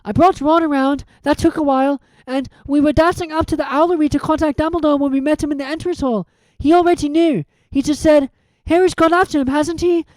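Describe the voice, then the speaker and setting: A reader voicing a clever, bossy schoolgirl who speaks quickly and indignantly. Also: sits high, fairly even intonation, darkish tone, no echo, voice pushed up, natural voice, poor audio.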